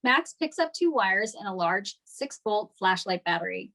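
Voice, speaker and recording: Medium-pitched woman reading stage directions. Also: big pitch swings, normal speed, vocal fry, pushed and bright. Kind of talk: scripted narration at a table read.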